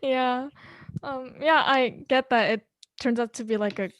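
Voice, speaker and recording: A woman hosting an interview who stutters herself, speaking with pauses and "um" fillers in a friendly chat. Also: high-pitched, a bit bright, slight background noise. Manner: stutters, speaks of her own blocks, pauses with "um". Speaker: podcast host. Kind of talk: casual interview conversation.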